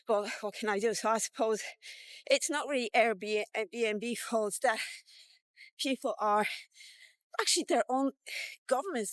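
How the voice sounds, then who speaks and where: A woman's voice: fairly high pitch, fairly bright tone, no echo. A woman in her fifties giving a casual, blunt, sweary and rambling vlog rant. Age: 50s. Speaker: vlogger. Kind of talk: casual rant to camera while walking.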